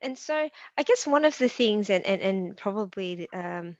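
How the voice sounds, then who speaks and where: A woman's voice: mid-range voice, animated, moderate pace, no echo. Woman hosting a live stream, lots of um and restarts, dry close mic, kinda dull audio. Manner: hesitant, many restarts and fillers. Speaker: host. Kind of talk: live webinar interview.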